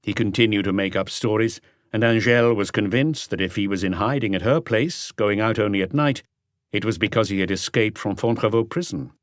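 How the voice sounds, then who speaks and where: A man narrates a mystery novel in a low voice. His delivery is measured and composed, a scripted audiobook read, captured close and dry with no room sound. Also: no echo.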